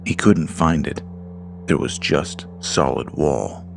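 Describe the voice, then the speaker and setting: Slow, deep, gravelly male narrator. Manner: slow, deliberate storytelling. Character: Lars, a frightened man alone in a dark house. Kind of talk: scripted horror narration. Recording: music under the voice.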